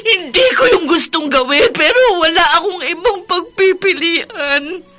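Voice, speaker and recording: An actress playing a grieving middle-aged widowed mother in a radio drama, crying through an anguished, drawn-out delivery. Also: very high voice, lively intonation, bright, forceful tone, full character voice. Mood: anguished guilt. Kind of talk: melodramatic Tagalog radio drama acting. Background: music.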